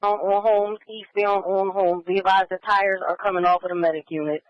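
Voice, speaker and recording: A man's voice: high voice, flat delivery, fairly quick, no echo. A man working as a police radio dispatcher, repeating locations and orders in clipped radio phrases. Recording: muffled scanner radio audio.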